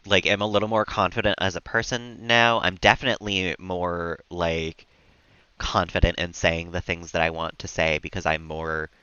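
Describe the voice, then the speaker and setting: A man chatting casually and calmly as he streams a video game, rambling a little as he plays. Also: deep voice, moderate pace, smooth, no room sound, muffled recording. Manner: calm, relaxed, rambling. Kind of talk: casual chat while gaming.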